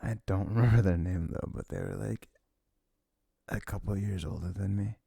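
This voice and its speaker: A man with a husky, creaky voice rambling informally and haltingly to one listener in an intimate ASMR chat, close to the mic. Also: low-pitched, steady tone, warm tone, dry recording. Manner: sniffles habitually. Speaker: ASMR audio performer.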